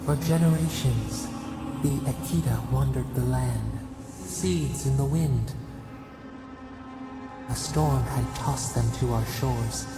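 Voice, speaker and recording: Game casting: solemn ancient spirit, gravelly, portentous delivery, reverberant, over loud music. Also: mid-range voice. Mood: solemn, mournful. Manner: slow, cryptic, poetic, portentous. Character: ancient, solemn nature spirit recalling shared memories. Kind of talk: video game dramatic narration. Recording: echoing voice under prominent music.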